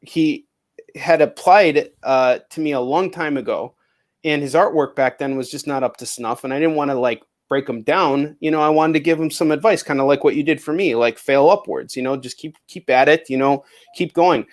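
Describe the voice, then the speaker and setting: A man's voice: fairly high pitch, normal speed. Earnest man hosting a casual chat. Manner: earnest, agreeing, with many fillers and restarts. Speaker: host.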